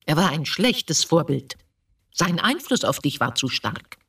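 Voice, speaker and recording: A woman's voice: sits low, big pitch swings, a little slow, fairly bright tone, husky, distant mic, exaggerated voice. An actress playing a stern, reproachful, domineering mother of a grown son in a radio drama. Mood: defensive, scornful. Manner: severe, accusing.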